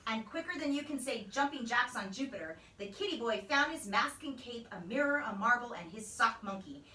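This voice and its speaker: A woman's voice: a little high, expressive, fairly quick, sharp tone. A woman, a teacher, narrating a storybook in a children's read-aloud style. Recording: roomy, distant microphone.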